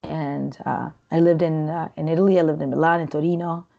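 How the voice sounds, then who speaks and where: Low-voiced chatty woman, lo-fi. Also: steady tone, brisk, gravelly. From Peru. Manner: chatty and rambling, many fillers and restarts. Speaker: guest. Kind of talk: casual interview conversation.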